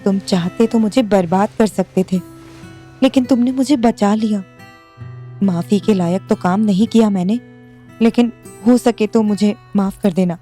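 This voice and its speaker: A woman playing a remorseful young wife, soft and pleading, with a mellow, warm tone. A faint music bed plays beneath her. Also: medium pitch, normal speed, lightly acted. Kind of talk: Hindi audio drama acting.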